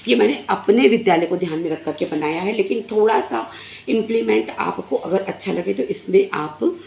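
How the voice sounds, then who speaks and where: A middle-aged woman teacher with a hoarse, recovering throat, talking earnestly to fellow teachers into a phone camera, pausing often with fillers. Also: medium pitch, darkish tone. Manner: earnest, frequent filler pauses. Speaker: primary school teacher. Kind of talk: casual explanatory talk to fellow teachers. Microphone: phone camera in selfie mode. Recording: echoey room.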